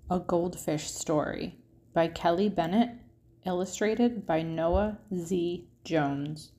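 Slow-paced woman reading a storybook. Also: fairly low pitch, a little mellow. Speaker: picture book read-aloud narrator. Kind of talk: expressive children's storybook reading.

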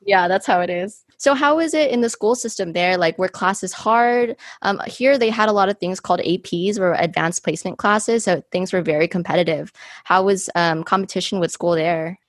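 A woman's voice: medium pitch, normal speed, no echo, clean studio sound. A woman in her twenties from the United States, hosting a casual podcast chat, talking loosely with many ums and likes. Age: 20s. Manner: chatty, many ums and likes, thinking aloud. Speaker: podcast host.

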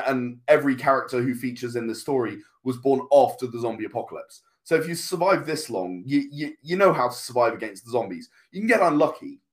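A man speaking as an interview guest, explaining and storytelling conversationally, halting and repeating words as he goes. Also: mid-range voice. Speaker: podcast guest, comic writer.

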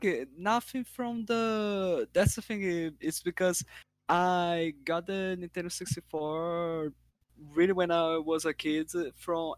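A man from Brazil with a Brazilian Portuguese accent, co-hosting a casual gaming chat, playful and laughing often, with halting restarts. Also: high-pitched, dry recording. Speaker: podcast co-host.

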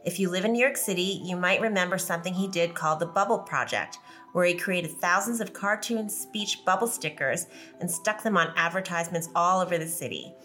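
Woman host, bright edge, reads intro over light music. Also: lowish voice, a little fast. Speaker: podcast host. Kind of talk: scripted introduction then interview questions.

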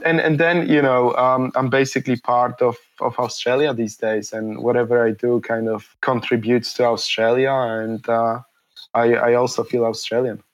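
A man's voice: medium pitch, little pitch movement, moderate pace. A man in his thirties from Poland, Polish his first language, answering interview questions in a hesitant, reflective way with many fillers and restarts.

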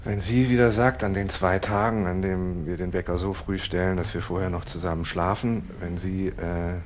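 A man playing a rigid, plan-obsessed husband in a naturalistic audio drama, speaking in a halting, pedantic, insistent way. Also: deep voice, steady tone, close to an everyday voice. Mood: pedantic, aggrieved. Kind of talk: naturalistic improvised-sounding audio drama dialogue.

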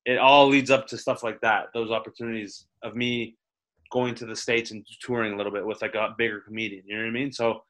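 A young man from the Toronto area talks about his comedy career in a loose, rambling way, full of restarts and casual swearing. His voice is bright and forward. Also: lowish voice, fairly even intonation, brisk, rough recording. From Toronto area, Canada. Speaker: stand-up comedian guest. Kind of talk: casual interview chat.

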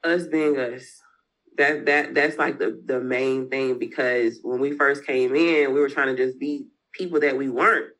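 A woman's voice: very deep voice, fairly even intonation, a little slow, a bit bright, low-quality recording. Woman, frank interview guest, casual slangy delivery. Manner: candid, frank, uses slang and mild cursing. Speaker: entrepreneur guest.

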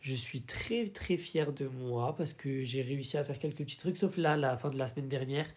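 A man's voice: medium pitch, a little mellow. Tired man chatting casually, hesitant and informal. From Paris, France. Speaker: podcast host. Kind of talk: casual confiding solo chat.

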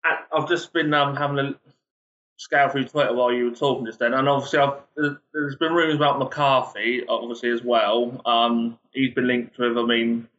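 A man's voice: medium pitch, level tone, moderate pace, a bit bright. Rambling man on a dull, lo-fi line. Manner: rambling, hesitant, keeps restarting. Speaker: podcast guest. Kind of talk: casual podcast chat.

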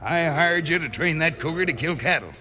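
A crooked rancher from a radio western, with a fairly high, forceful voice. He is gruff, threatening and curt in a Western American accent. The old recording has some background noise. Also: animated, fairly quick, poor audio. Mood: menacing.

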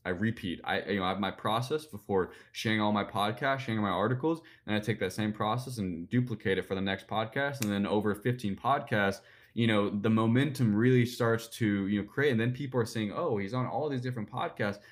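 A young man in his twenties answering interview questions about personal branding, rambling with lots of "like" and "you know". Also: fairly low pitch. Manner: fast, rambling, filler-heavy with "like" and "you know". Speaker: guest, personal branding speaker.

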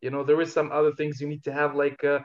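A man from Algeria, a rapper guest talking in a relaxed interview, hesitant and full of fillers. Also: a little high, fast, dull audio. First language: Algerian Darija. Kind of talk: casual interview talk.

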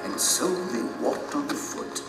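A man's voice: takes it slowly, lightly acted. A man acting as the storyteller of an epic fantasy audio drama, with suspenseful theatrical delivery. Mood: dramatic, wondrous. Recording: roomy sound under loud music. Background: dramatic music.

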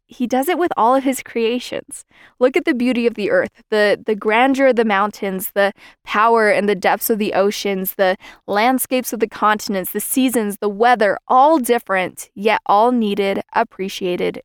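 A young woman hosting a podcast, giving an earnest, measured scripted talk on faith and belonging. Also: medium pitch, clear, resonant tone, no echo, studio quality. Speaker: podcast host.

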